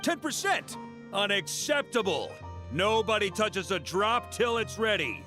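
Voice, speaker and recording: A man's voice: high voice, very animated, measured pace, sharp tone, soft background music, full character voice. An actor playing a boisterous male knight commander who loves a drink, talking in a jovial, folksy way as in a video game. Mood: mock outrage. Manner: jovial, folksy, clipped storytelling.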